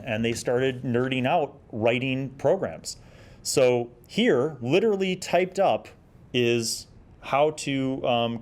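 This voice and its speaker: A man in his forties talking casually on his own as he hosts a review show, reflective and explanatory in a conversational way. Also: fairly low pitch. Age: 40s. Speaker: host of an old gaming review series. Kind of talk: casual solo review and reminiscence.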